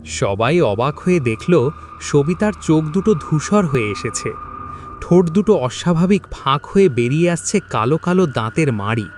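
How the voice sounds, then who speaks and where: A man tells a scripted horror tale as its narrator. His voice is somewhat high and very animated in pitch, dramatic in delivery, with faint noise and ambience behind it. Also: normal speed. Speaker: story narrator.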